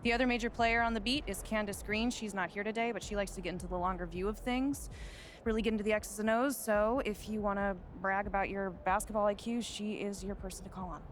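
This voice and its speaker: Game cutscene: woman as a commanding, businesslike publicist, brisk, noisy capture. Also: medium pitch, fairly even intonation, close to an everyday voice. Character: brisk no-nonsense female publicist, adult. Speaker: publicist for a rookie basketball player. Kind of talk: video game cutscene acting.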